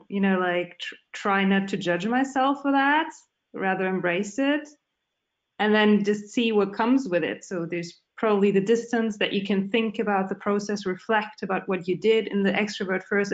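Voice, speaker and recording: A woman's voice: medium pitch, moderate pace. A woman reflecting in a casual video-call discussion, with frequent fillers. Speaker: participant in online session. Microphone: laptop video call. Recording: dull video-call audio.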